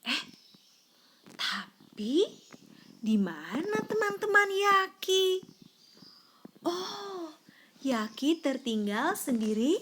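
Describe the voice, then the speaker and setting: Animated, high-voiced woman storyteller. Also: a little hiss or hum. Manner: animated, prompting, drawn-out words for suspense. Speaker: storyteller reading a children's book aloud to a child. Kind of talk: expressive read-aloud storytelling with questions to a child.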